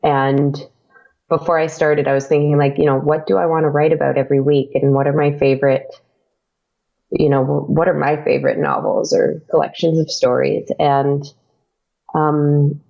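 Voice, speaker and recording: A woman being interviewed about her podcast, talking hesitantly with many pauses. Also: sits low, level tone, gravelly, muffled recording. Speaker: guest, audio drama podcaster. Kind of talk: casual interview chat.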